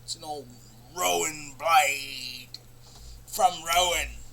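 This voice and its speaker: High, very bright man doing a rambling comedy monologue. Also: drawn-out words, a little hiss or hum. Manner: rambling, profane, deadpan nonsense with sudden bursts of laughter. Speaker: comedy show host.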